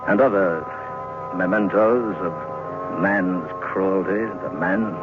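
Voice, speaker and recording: A man with a low voice narrates a horror tale in old radio style. His delivery is deliberate and ominous, with literary polish. The recording is thin and narrow, with music clearly playing beneath him. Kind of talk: scripted radio horror storytelling.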